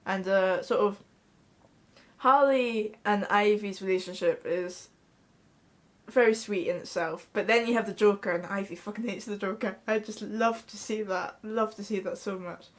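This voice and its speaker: A woman's voice: medium pitch, moderate pace. A young woman with a Scottish accent chatting casually to camera in a small bedroom, blunt and swearing freely. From Scotland. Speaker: vlogger. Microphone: camera in front at close range.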